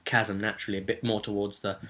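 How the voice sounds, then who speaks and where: A man casually recapping a tabletop roleplaying session as game master, telling it in an animated storytelling way. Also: on the low side. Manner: animated storytelling, slipping into quick character quotes.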